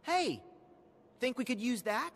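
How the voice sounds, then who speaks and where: A man playing an eager, sincere teenage boy hero in a video game, with a slightly husky voice. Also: very high-pitched, very animated, measured pace, a bit bright, a little hiss or hum, full character voice. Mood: curious. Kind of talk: animated video game dialogue. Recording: long hall reverb.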